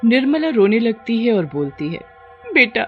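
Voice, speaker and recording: A woman's voice: fairly low pitch, big pitch swings, normal speed, highs cut off, faint music behind. A woman reading a scripted story as its narrator.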